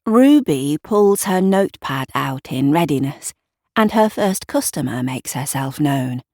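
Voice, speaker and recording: A woman with a low voice narrates an audio drama. Her delivery is slow, deliberate and atmospheric, recorded close and very dry. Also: animated, a little mellow, no room sound. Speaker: writer and narrator.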